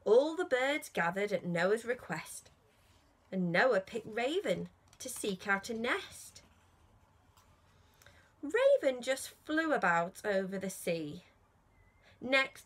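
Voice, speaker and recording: Female children's storyteller, thirties, higher-pitched and very expressive, sing-song rhyming delivery. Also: vocal fry. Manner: slow, sing-song rhyming delivery with emphasis on key words. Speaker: children's author reading aloud.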